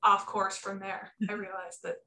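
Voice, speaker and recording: A woman's voice: mid-range voice, level tone, normal speed, pushed and bright. A woman author telling a story animatedly, acting out quotes, over a video call. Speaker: romance author on a panel. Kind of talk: casual panel storytelling. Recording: echoey room on a video call.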